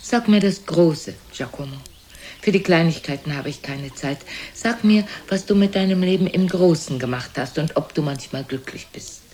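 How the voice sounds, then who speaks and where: A woman's voice: sits low, lively intonation, moderate pace, dark tone. An aged, imperious mother in a German radio play. She speaks bluntly and theatrically, probing and belittling her son with cool haughtiness. The old recording carries faint noise. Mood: insistent, demanding.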